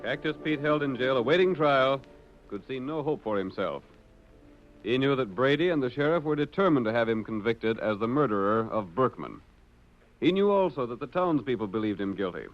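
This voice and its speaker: A man's voice: medium pitch, animated, normal speed, faint music behind. A man with an American accent narrating a radio drama in the formal, articulate style of a 1940s announcer. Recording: dull lo-fi old radio recording.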